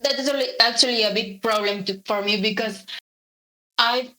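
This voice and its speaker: A young woman with a Spanish accent, a native Spanish speaker from Latin America, chatting hesitantly as a guest artist in an interview, with many pauses and fillers. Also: on the high side, pushed and bright, low-quality recording. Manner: hesitant, many ums, laughs lightly. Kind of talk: casual interview chat.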